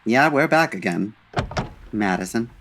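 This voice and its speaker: An actor playing a suave, dry-witted 1940s gentleman detective, urbane and measured, in an old-time radio style. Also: fairly low pitch, lively intonation, a little hiss or hum. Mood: wry, probing.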